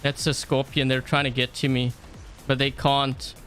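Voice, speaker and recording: A man's voice: medium pitch, level tone, normal speed, a bit bright. A young man streaming his game, thinking aloud in a loose, rambling way with frequent fillers. His voice sits in a middling range, and faint game music plays behind him. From South Africa. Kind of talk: casual live-stream gameplay commentary.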